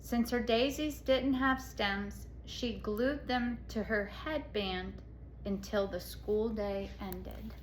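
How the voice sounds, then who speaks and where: A woman's voice: a little high, fairly even intonation, slow, a little hiss or hum, poor audio. A woman reading a storybook aloud to children, expressively, with explanatory asides and questions to the listeners.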